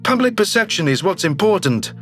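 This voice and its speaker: A man's voice: sits high, very animated, fairly quick, fairly bright tone, faint music behind, pitched below the performer's own voice. A man voicing a brash, slick alien governor who talks with glib self-assurance, in a sci-fi audio drama. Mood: smug, pragmatic. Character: brash, slick alien politician, newly elected male governor. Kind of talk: audio drama character reading.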